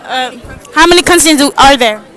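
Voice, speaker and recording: High, bright teenage girl hosting casually. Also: fairly quick. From United States, Texas. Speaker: host asking street quiz questions. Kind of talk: casual public interview. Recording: outdoor vlog with people chattering around. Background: faint crowd chatter.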